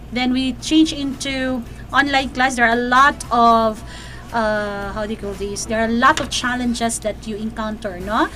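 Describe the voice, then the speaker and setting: A woman's voice: on the high side, a bit bright. Filipino woman hosting a talk show. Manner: conversational, with tag questions and pauses to find words. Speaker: teacher and show host. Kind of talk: online talk show interview.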